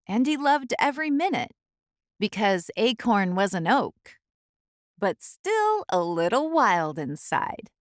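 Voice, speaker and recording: A woman reading a children's storybook aloud as the host, in an expressive, deliberate storytelling style. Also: medium pitch, dry recording, clean studio sound.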